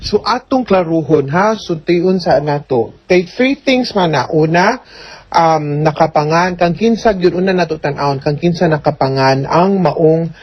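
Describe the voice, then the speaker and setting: A woman's voice: sits low, a little slow. A woman with a Cebuano accent hosting a radio advice show as an attorney, explaining things conversationally with frequent tag questions. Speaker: host, attorney giving advice. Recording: dull lo-fi audio.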